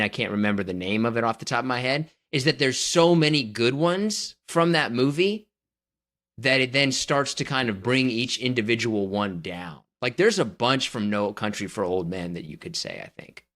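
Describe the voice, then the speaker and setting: Male podcast co-host, middle pitch, skeptical and argumentative in easy chat. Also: a bit bright. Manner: skeptical, argues his picks at length. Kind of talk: casual debate chat.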